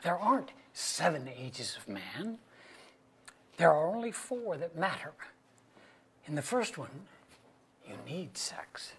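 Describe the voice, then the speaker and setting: A man playing a wry, rambling middle-aged suburban husband in a staged play reading, with a husky voice and mock-grand delivery. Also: on the high side, sing-song, slow, long pauses, a bit bright, a little hiss or hum, full character voice. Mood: wry musing.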